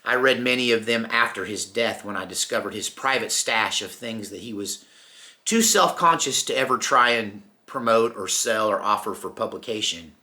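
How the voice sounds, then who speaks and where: A middle-aged American man telling personal stories informally, in a folksy, reflective manner with unhurried pauses. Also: on the low side, pushed and bright. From Texas, United States. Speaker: storyteller, channel host.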